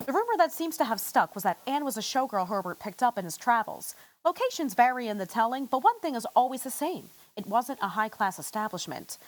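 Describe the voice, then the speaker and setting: A woman's voice: on the high side, very animated, a little fast, few pauses. An actress in a radio play playing a wisecracking woman newspaper reporter, slangy and sardonic, in an old-time style. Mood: brisk, gossipy.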